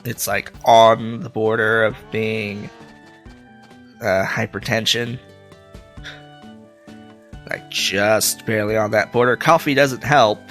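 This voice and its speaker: A man's voice: fairly low pitch, steady tone, slow, long pauses, fairly bright tone, faint music behind. A man with a stuffy nose from a cold, rambling through casual gameplay commentary with many filler sounds. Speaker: let's play host.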